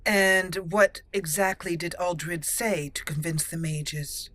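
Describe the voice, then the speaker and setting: A woman's voice: lowish voice, takes it slowly, fairly bright tone, dry recording. A woman hosting a roleplay let's play, chatty and moving between commentary and in-character lines. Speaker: gaming host.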